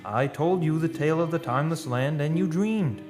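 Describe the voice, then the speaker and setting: An actor playing a skeptical, cautioning friend steeped in old lore, speaking in folksy phrases in a radio play. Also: male voice, on the high side, normal speed, lightly acted. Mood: dismissive, soothing. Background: music.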